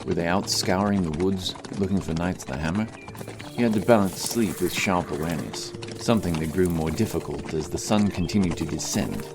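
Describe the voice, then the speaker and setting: A man with a very low voice narrates a fantasy adventure in a dramatic, scripted style. Music plays clearly behind him. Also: brisk. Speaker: audiobook narrator.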